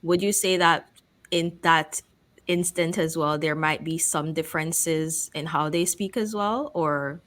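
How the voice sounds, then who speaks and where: A woman from Guyana hosting a casual interview, chatty and quick to laugh. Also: sits low, fairly even intonation, a bit bright. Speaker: host.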